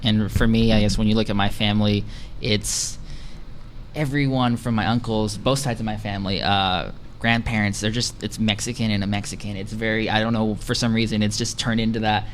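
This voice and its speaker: A young man with a somewhat low voice speaking on a student panel. He talks quickly but hesitantly, searching for words. A faint background noise runs under him. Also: steady tone. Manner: hesitant, reflective, many fillers. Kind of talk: casual panel discussion.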